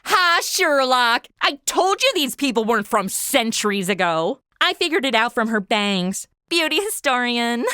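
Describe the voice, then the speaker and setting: A brash young American woman with a high, bright voice. She is sarcastic and blunt, swooping animatedly through her slangy lines in a comic audio drama. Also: big pitch swings, moderate pace, few pauses, smooth, no echo, clean studio sound. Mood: sassy, mocking.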